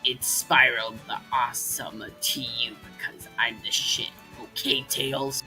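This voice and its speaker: Man voicing a cocky, sharp-voiced cartoon dragon. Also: medium pitch, flat delivery, measured pace, soft background music. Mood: boastful. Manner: brash, bragging. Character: cocky, boastful, foul-mouthed male dragon flying coach. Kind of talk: amateur comic dub character acting.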